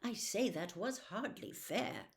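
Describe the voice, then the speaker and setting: A woman's voice: mid-range voice, animated, measured pace. A woman voicing a naive young boy in a storybook, plain-spoken and questioning. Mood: challenging.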